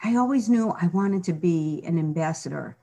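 A middle-aged woman with a slightly low voice speaks earnestly in an interview. She reflects as she goes, circling back and restarting her sentences. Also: expressive, moderate pace, gravelly, mellow. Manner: earnest, reflective, with frequent restarts and "you know". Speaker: guest. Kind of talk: candid personal interview.

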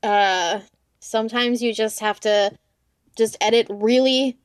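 A woman interviewing a guest on a podcast in a measured, conversational style. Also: a little high, steady tone, clear, resonant tone, no echo, clean studio sound.

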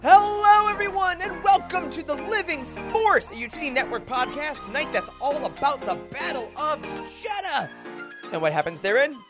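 A man hosts a podcast as casual conversation, joking and effusive. His pitch moves up and down in a very lively way. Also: moderate pace, loud music behind the voice. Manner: jokey, effusive. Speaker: podcast host. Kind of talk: casual upbeat chat.

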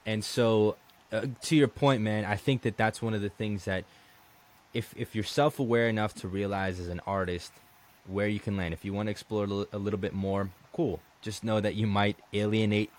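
A man's voice: lowish voice, steady tone, normal speed. A man from Colombia hosting a podcast, chatting casually and restarting his sentences often. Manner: conversational, many restarts and "man". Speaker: podcast host. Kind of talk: casual interview chat.

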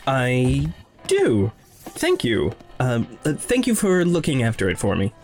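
A man's voice: mid-range voice, wildly swooping pitch, measured pace, a little mellow, faint music behind. An actor playing a shy, polite young man who speaks hesitantly and apologetically in an audio drama. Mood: flustered.

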